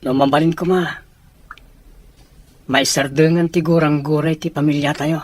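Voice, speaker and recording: An actor with an Ilocano Filipino accent playing an earnest, respectful young suitor in an audio drama. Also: male voice, a little high, level tone, normal speed, dry recording, close to an everyday voice. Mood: earnest, pleading. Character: earnest, respectful young suitor seeking peace between families. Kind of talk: Ilocano radio-style drama acting.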